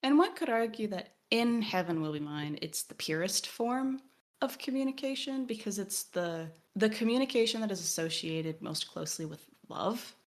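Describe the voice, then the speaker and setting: A woman co-hosting an analytical conversation, phrasing her points in a deliberate, measured, essay-like way. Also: medium pitch, lively intonation. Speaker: podcast co-host.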